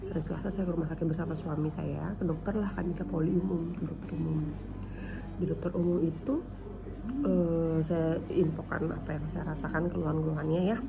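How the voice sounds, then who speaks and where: A woman's voice: deep voice, flat delivery, brisk, gravelly, rough recording. A woman tells a personal story in a casual, conversational vlog style, often repeating and rephrasing herself. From Indonesia. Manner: conversational, repeats and rephrases, ends phrases with "gitu". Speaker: beginner vlogger. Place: hospital isolation room. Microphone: handheld camera, later set down in front of her.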